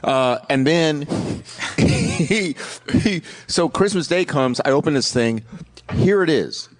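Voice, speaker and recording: A man's voice: a little high, animated, slow pace. A man telling a personal story in a casual, comic way among other people.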